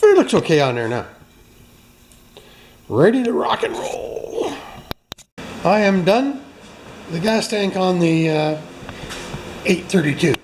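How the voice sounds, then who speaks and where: A man's voice: sits high, big pitch swings, takes it slowly, long pauses, a little mellow, a little hiss or hum. A man hosting a workshop video, chatting casually and joking with viewers while he works in a garage. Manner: chatty, self-mocking, talks to viewers while working.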